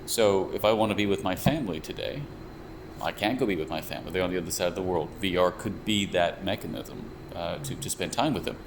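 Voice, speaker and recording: A young man working as a VR researcher and developer, explaining his views in a measured, thoughtful way with frequent restarts. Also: deep voice. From United States. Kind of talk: explanatory interview talk. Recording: steady air conditioner hum behind. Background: air conditioning hum.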